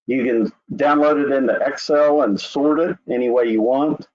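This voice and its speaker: This is a man with an Oklahoma accent and an ordinary middle-pitched voice, leading a webinar walkthrough. He talks in a relaxed, rambling way with plenty of filler. The sound is dull and lo-fi, like a web call. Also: normal speed. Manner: relaxed, conversational, with filler "uh" and "anyway". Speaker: insurance company representative. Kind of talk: screen-share webinar walkthrough. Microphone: video call.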